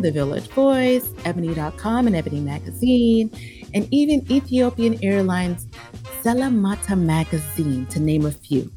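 A woman's voice: medium pitch, warm tone. A woman hosting a podcast, reading an upbeat introduction and then chatting loosely. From Ghana. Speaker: podcast host.

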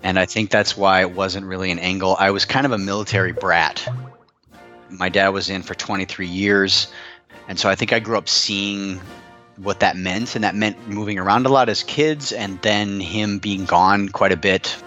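A man's voice: sits low, steady tone, normal speed, bright, forceful tone, dull audio, soft background music. An American man talking conversationally about himself in an informal, emphatic storytelling way, as a guest in an interview.

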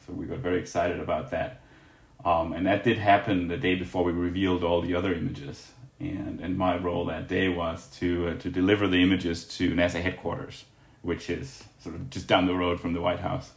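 Casting: low-voiced scientist guest from Denmark, halting answers, roomy echo. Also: male voice, flat delivery, creaky. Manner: halting, restarts with fillers. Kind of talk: interview answers.

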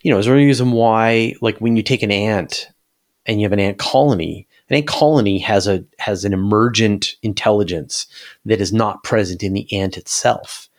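Low-voiced man thinking aloud about science. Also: moderate pace. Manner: thinking aloud, restarts and rephrases points. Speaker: science interviewer and podcast guest. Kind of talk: casual speculative science discussion.

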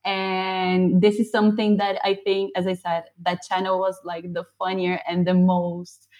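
A woman's voice: on the low side, completely flat delivery, a little mellow, muffled recording. A woman from Brazil whose first language is Portuguese, speaking English as a guest in a conversational interview with an eager manner. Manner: eager, quick agreeing interjections.

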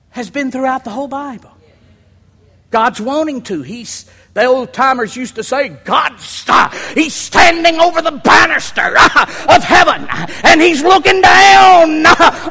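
A man preaching a sermon, building to shouted peaks and dropping low, with folksy humorous asides. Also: creaky. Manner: builds to shouting crescendos, then drops low. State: sweating and worked up. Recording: long hall reverb.

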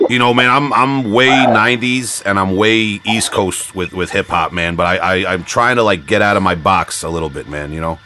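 A man hosting a podcast in casual conversation. His voice sits a bit low, and he rambles in loose slang, peppering it with "man" and "you know." There is slight noise on the recording. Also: normal speed, hardly any pauses. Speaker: podcast host. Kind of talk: casual chat interview.

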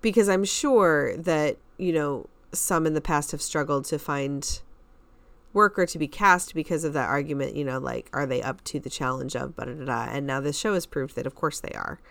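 A woman's voice: deep voice, normal speed, creaky, dry recording. A woman co-hosting a podcast, giving thoughtful commentary in casual conversation. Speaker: podcast co-host.

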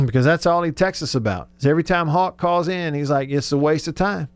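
A man's voice: a little high, fairly quick, no echo. A man hosting sports talk radio in a casual, conversational, informal way.

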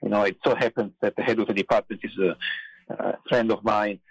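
Middle-aged Italian-accented man, dry studio sound, halting storyteller. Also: lowish voice, little pitch movement, vocal fry, breathy edge, no echo, poor audio. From Italy. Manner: hesitant, many "uh" and "you know" fillers. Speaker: guest, theology professor. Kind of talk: podcast interview storytelling.

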